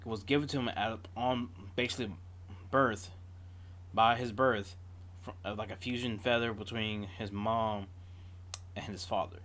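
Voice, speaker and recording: A man's voice: lowish voice, steady tone, measured pace, long pauses, a bit bright. A man giving casual, off-the-cuff reaction commentary as a video host, drifting into digressive storytelling.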